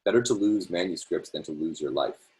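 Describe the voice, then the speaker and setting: A man with an extremely low, deep voice reads a literary essay aloud over a video call. He takes it phrase by phrase in a measured way and now and then corrects a slipped word. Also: dark tone, low-quality recording. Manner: measured, phrase-by-phrase delivery with small self-corrections. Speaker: reader hosting a reading series.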